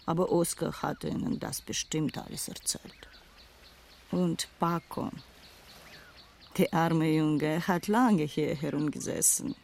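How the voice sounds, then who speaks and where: An actress in a dubbed film thriller playing a proud, world-weary former madam turned respectable wife, disdainful and wistful in manner. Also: female voice, fairly low pitch, creaky, dark tone, slight background noise.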